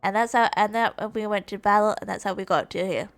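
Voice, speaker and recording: A woman livestreamer chatting casually during a game, halting and often rephrasing herself. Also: medium pitch, fairly even intonation. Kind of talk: casual gaming livestream chat. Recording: roomy, music under the voice.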